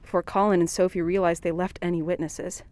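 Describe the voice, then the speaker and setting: A woman playing a shaken, pitying eyewitness in an audio drama, halting and alarmed. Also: lowish voice, little pitch movement, a little mellow, slight background noise, close to an everyday voice. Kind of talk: scripted audio drama acting.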